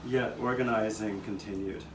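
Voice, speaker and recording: A man's voice: lowish voice, steady tone, normal speed, natural voice. A man playing a 19th-century Philadelphian, earnestly narrating memories of his neighbors' struggles, occasionally stumbling over the script. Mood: sober, earnest. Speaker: narrator of a puppet show. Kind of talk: scripted first-person historical narration, storytelling. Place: outdoor courtyard, live performance. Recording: roomy, distant microphone, noticeable background noise.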